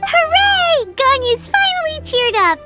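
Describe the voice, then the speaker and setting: Tiny floaty anime game buddy, super high squeaky kid voice, excitable, loud music under it. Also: female voice, a little slow, heavily acted. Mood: excited, cheering. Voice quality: squeaky, childlike.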